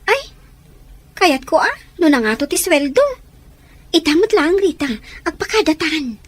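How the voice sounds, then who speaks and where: A woman's voice: very high-pitched, animated, normal speed, no room sound. A woman playing an eager, teasing young friend in an audio drama. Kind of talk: Ilocano audio drama acting.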